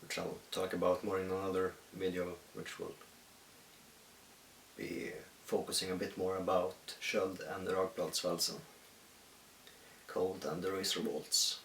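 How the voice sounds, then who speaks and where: Gravelly low-voiced Swedish man, echoey. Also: flat delivery, fairly bright tone. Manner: halting, thoughtful, many pauses. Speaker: musician. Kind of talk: reflective solo monologue. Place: at home in the countryside. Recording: echoey room, distant mic, faint hiss.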